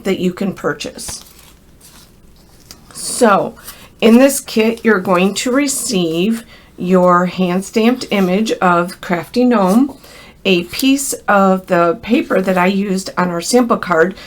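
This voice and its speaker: An American woman with a crackly voice from a cold gives a casual, chatty hands-on craft tutorial, speaking directly and in a friendly way to viewers. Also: mid-range voice. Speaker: craft instructor.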